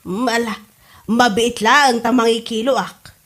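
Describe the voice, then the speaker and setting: A woman's voice: on the high side, steady tone, a little slow, a bit bright. An actress with an Ilocano accent playing a motherly village storekeeper in a comedy drama podcast. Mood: obliging, chatty. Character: motherly village storekeeper, scolding yet obliging. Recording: music under the voice. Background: music.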